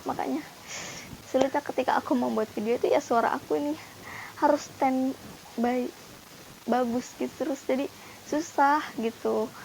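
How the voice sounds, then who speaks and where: Chatty high-voiced woman vlogger, going hoarse. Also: steady tone, moderate pace, pauses often, a little mellow, a little hiss or hum, poor audio. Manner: chatty, addresses viewers as guys, many fillers. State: voice wearing out, getting hoarse. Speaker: beauty vlogger reviewing skincare. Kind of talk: casual product review to camera.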